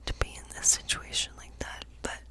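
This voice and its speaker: Casting: woman to play a kindly cottage witch for ASMR fantasy role play, all in breathy whisper, gentle and musing. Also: close to an everyday voice. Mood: gentle, pondering. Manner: slow, gentle, musing, often repeating phrases. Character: kindly woodland witch reading tarot for a guest. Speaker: ASMR performer.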